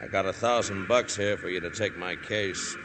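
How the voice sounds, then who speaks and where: A shady male thug played by an actor from 1950s radio crime drama. His low voice comes out halting and evasive, over a clearly noisy old broadcast recording. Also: natural voice. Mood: sly. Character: shady, thuggish male stranger feigning a sob story.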